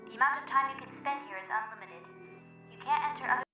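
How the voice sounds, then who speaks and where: A calm, welcoming young guardian, explains things in a measured way for a comic dub. The voice is fairly high and sounds distant, with a tinny echo. Music plays clearly behind it. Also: female voice. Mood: calm, explanatory. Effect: echoing, tinny filter.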